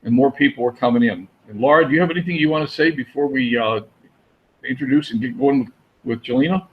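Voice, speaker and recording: A man's voice: mid-range voice, fast. Brief male host, enthusiastic, stumbling restarts, dull lo-fi call audio. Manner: enthusiastic, repeats words, many "uh" restarts. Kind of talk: casual webinar hosting. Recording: muffled lo-fi audio.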